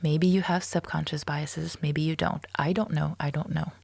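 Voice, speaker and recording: Low-voiced woman hosting a podcast, dry sound. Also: steady tone, normal speed, vocal fry, a little mellow, no room sound. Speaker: podcast host. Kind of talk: reflective podcast commentary addressed to listeners.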